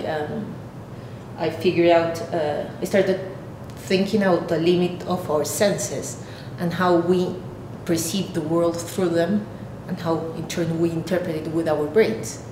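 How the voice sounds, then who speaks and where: A woman in her thirties from Peru with a Peruvian Spanish accent, an artist explaining her work haltingly, searching for words. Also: fairly even intonation. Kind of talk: explaining own artwork to camera. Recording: echoey room, clear rumbling background noise.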